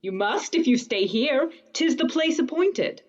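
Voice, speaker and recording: An affected courtier in a Shakespearean radio play. The delivery is slow and very animated in pitch, on a slightly dull recording. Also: female voice. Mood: affected, courtly.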